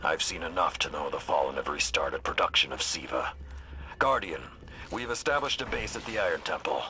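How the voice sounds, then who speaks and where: An actor playing a stern veteran warrior lord who speaks in a slow, solemn, formal way. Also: male voice, extremely low pitch, pushed and bright, a little hiss or hum, exaggerated voice, rough recording. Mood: grave.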